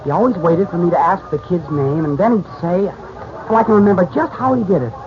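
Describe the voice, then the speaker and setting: A man's voice: sits high, lively intonation, quick pace. An actor in a 1940s radio serial playing an eager, brash, good-natured boy with an American accent. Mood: wistful. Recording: muffled, noisy old radio recording.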